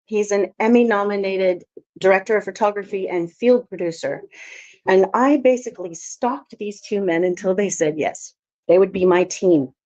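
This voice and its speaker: A woman's voice: mid-range voice, normal speed, dark tone. A woman from Alaska giving a conference talk as a filmmaker, telling her story in a chatty, anecdotal way. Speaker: filmmaker presenter. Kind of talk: conference presentation. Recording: dull lo-fi audio.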